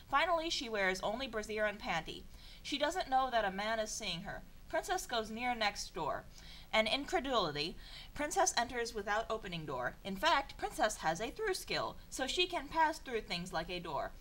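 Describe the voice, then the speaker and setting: A woman reads a story aloud in an informal, theatrical way. Her pitch moves around a lot, and her manner is sardonic and exasperated, as if narrating under protest. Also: big pitch swings, moderate pace, fairly bright tone, slight background noise, poor audio. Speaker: narrator of a comedic fan fiction reading. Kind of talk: informal dramatic reading with asides.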